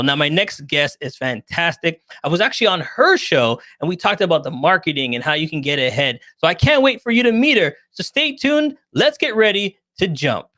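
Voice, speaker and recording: A man's voice: on the high side, bright, forceful tone, no room sound. A man hosting an interview show, hyping it up with an emphatic, loose, casual way of talking. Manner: hype-style, emphatic, loose grammar. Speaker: show host. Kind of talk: enthusiastic hosting, casual chat.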